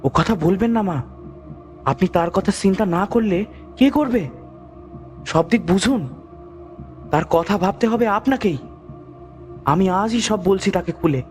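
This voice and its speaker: A man's voice: high-pitched, animated, a little slow, long pauses, soft background music. A man playing a humble, earnest village physician in a horror audio story, speaking with respectful, deferential pleading.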